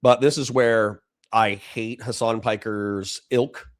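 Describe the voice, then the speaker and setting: A man's voice: fairly low pitch, fairly even intonation. A man with a dry voice giving blunt, profane political commentary to a livestream chat. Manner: blunt, profane, fast restarts.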